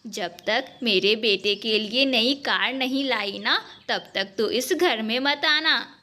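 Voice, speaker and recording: A woman's voice: a little high, takes it slowly, pushed and bright. A woman voicing a cruel, greedy older mother-in-law bird in a cartoon, with a sarcastic, nagging manner. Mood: bitter, demanding.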